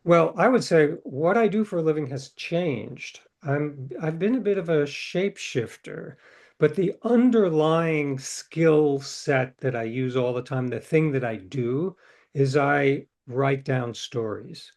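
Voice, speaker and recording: A middle-aged man, a novelist guest in an interview, reflecting in a relaxed, storytelling way with frequent restarts. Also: fairly high pitch, takes it slowly.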